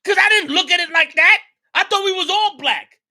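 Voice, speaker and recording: A man delivers a passionate monologue on his own show. His voice sits very high and cuts sharply, and he hammers his points home with repetition and bursts of shouting. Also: normal speed. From New York. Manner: emphatic, repetitive, rising to shouts. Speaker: show host. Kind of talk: impassioned commentary monologue.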